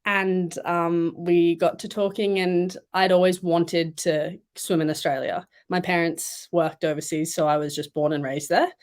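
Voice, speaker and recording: Chatty young woman, lowish voice. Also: measured pace, hardly any pauses. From Thailand and Malaysia. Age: young adult. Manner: chatty, relaxed, many fillers and "like"s. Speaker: guest swimmer. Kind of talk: casual interview chat.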